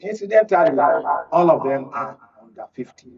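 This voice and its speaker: Husky Nigerian male political analyst, distant sound. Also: fairly high pitch, big pitch swings, normal speed, a little mellow. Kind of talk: political panel discussion. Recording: dull, distant studio sound.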